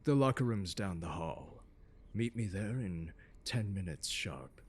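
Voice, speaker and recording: A man playing a haughty, condescending, seductive scholar in an ASMR audio roleplay, speaking in a pompous, teasing drawl with deliberate emphasis. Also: low-pitched, lively intonation, breathy edge, heavily acted. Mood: smug, teasing. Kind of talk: ASMR roleplay, scripted lecture to the listener. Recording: long hall-like reverb.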